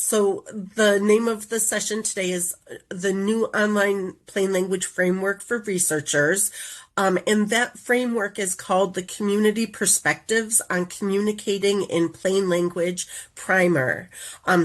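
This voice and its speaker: Woman presenting with a stuffy head cold. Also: mid-range voice. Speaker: community engagement coordinator presenting a webinar. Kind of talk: online presentation.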